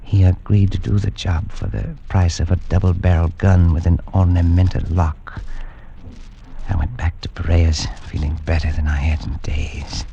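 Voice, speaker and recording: An actor playing a haunted, uneasy man recounting his ordeal in halting, agitated radio-drama style. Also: low-pitched, little pitch movement, gravelly, mellow, dull audio. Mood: grim recounting. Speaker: narrator and lead character. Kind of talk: 1940s-50s radio drama acting. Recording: vintage radio recording with dramatic music.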